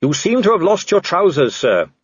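A man's voice: high-pitched, sing-song, normal speed, husky, dry recording, dull audio, pitched above the performer's own voice, full character voice. A man voicing a cheerful, earnest young watch captain who is polite and deferential, in an audiobook. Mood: cheerful, helpful. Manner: chipper, deferential, matter-of-fact. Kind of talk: audiobook character acting.

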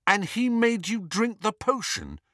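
An indignant teenage boy, acted by an audiobook narrator, who presses hard with his words. The delivery is tense and animated, with a close, dry sound. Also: medium pitch, measured pace, dry recording, pitched above the performer's own voice. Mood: disgusted. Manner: insistent, forceful questioning. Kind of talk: audiobook character acting.

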